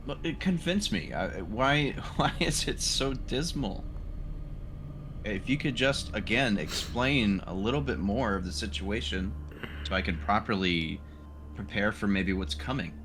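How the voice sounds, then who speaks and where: A man playing a tabletop roleplaying game, talking casually and a little haltingly. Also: lowish voice, expressive, background noise.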